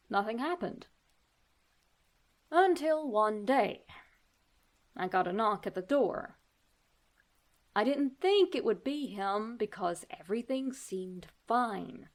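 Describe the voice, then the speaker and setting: A woman narrating a first-person horror story in an expressive, suspenseful way, with deliberate pauses. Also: mid-range voice.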